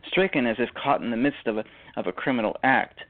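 A man reading literary narration for a radio drama, halting and often repeating words. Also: medium pitch, narrow, tinny audio.

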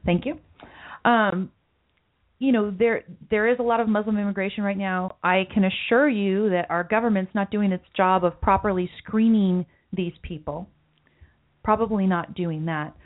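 A woman's voice: medium pitch, steady tone, moderate pace. A woman hosting a political talk podcast, with a light voice she calls mousy, earnest and often repeating words as she thinks aloud. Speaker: podcast host. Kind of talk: political talk show discussion. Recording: phone-like lo-fi audio.

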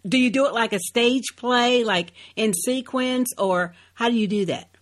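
A woman's voice: a little high, unhurried. A woman co-hosting a podcast interview, enthusiastic and affirming, who asks thoughtful questions. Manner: enthusiastic, affirming, many "yeah"s. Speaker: podcast co-host. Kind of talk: casual interview chat.

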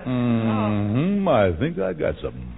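A man's voice: medium pitch, huge pitch swings, slow, creaky, loud music behind the voice, exaggerated voice. An actor playing a scheming small-time con man in an old American radio comedy, blustering and hemming and hawing. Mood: sly, plotting. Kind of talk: 1940s-50s American radio comedy acting. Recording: tinny, band-limited old radio recording.

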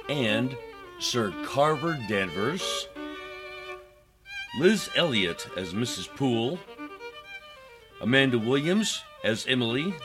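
A man announcing scripted radio play credits as narrator. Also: medium pitch. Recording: clear music under the voice.

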